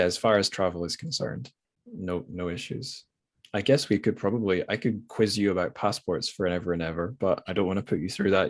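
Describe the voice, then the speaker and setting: A man with a low voice and a dry, close sound hosts a podcast interview. He talks informally and loosely, working out his thoughts as he goes. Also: dry recording. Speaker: podcast host. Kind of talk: casual literary interview.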